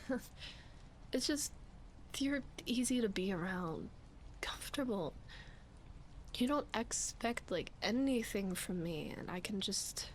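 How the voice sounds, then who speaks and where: A woman acting as a sleepy, flustered young roommate in an intimate roleplay ASMR, murmuring hesitantly and often whispering, shy and playful. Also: mid-range voice. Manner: hesitant, halting, breathy murmuring with sighs. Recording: clear background ambience noise. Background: rain ambience.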